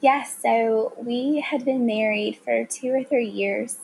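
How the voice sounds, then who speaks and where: Southern woman with a gravelly edge. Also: fairly high pitch, steady tone, slow pace, darkish tone, a little hiss or hum, low-quality recording. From South Carolina. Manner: earnest, heartfelt storytelling. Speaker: guest. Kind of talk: casual conversation.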